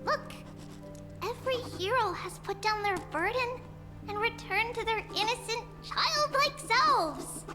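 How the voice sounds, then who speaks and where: Game role: mischievous little girl hero, very high and childlike, slow delivery, music underneath. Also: animated. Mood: cheerful, tender. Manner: refers to self by name, playful. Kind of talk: video game voice acting.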